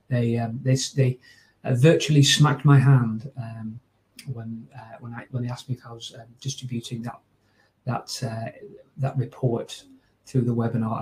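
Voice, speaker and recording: A man's voice: mid-range voice, a little mellow. Chatty Northern English man on a webinar. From north of England. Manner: chatty and informal, with many fillers and restarts. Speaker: webinar host and trainer. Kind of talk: closing remarks of a training webinar. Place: small room at home. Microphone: video call webcam.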